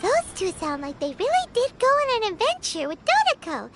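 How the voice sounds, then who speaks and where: A woman voicing a tiny, mischievous, childlike fairy sidekick in a video game with a squeaky, chirpy delivery. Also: huge pitch swings, normal speed, background noise, exaggerated voice. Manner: squeaky, third-person, childish. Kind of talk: animated game voice acting.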